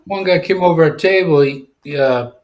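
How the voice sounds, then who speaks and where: A man's voice: a little high, moderate pace. Older man telling offhand stories. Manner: storytelling, offhand. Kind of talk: casual anecdote.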